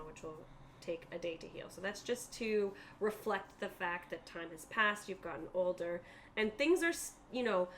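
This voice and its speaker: A woman's voice: on the low side, slight background noise. A woman narrating a tabletop roleplaying game as game master, explaining things in a conversational way.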